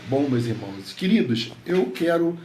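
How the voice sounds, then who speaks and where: A man's voice: fairly high pitch, big pitch swings, normal speed, a little mellow. A male pastor with a slightly nasal voice from a head cold, praying fervently and speaking to his congregation from home over a headset. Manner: fervent prayer full of repeated invocations. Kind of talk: prayer and devotional address. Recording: echoey room, faint background noise.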